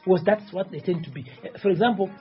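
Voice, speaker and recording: A man's voice: high-pitched, fast, slightly rough, highs cut off, faint music behind. A man in his forties, originally from Tanzania, answering interview questions as a presidential candidate in a rambling, insistent way and often repeating himself. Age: 40s.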